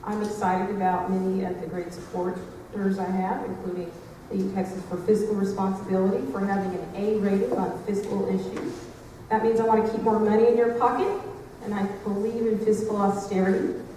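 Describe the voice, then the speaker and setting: A woman legislator delivers a brisk, confident campaign speech. She is heard from across a large, reverberant hall. A clear background noise runs under her. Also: mid-range voice, level tone, dark tone. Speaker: state legislator, candidate. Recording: echoey hall, mic far off.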